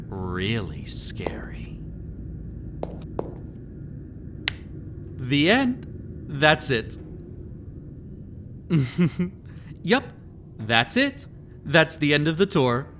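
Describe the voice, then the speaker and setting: A man's voice: mid-range voice, a bit bright, a little hiss or hum. A man playing a sinister, centuries-old master of a haunted estate in a dramatic audio roleplay, teasing and taunting theatrically. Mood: mocking menace, then playful teasing. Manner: teasing, taunting, theatrical, with frequent sly chuckles.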